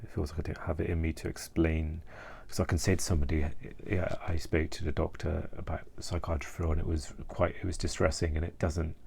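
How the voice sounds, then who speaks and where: A man speaking in a hesitant, halting way, searching for words and subdued with distress, in a personal reflective vlog monologue. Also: a bass voice, flat delivery, vocal fry, darkish tone, breathy edge. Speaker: mental health vlogger.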